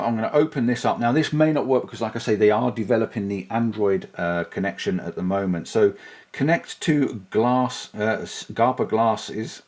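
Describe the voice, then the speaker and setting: A man's voice: mid-range voice, darkish tone. Chatty man testing gadgets. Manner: chatty, thinks aloud while testing. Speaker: vlogger reviewing gear. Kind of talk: casual product demo. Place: outdoors on a bike, then indoors.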